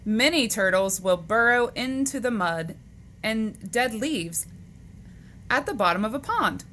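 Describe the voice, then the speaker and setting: A woman's voice: a little high, expressive, measured pace, fairly bright tone. A woman reading a children's book aloud, speaking to young listeners in a question-and-answer manner, on a noisy recording.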